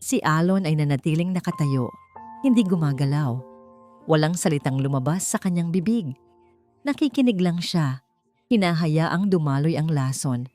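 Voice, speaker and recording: A woman with a fairly low voice narrates a dramatic audiobook story over music. Her delivery is measured and emotive, moving along in short phrases. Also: animated, dark tone, no room sound.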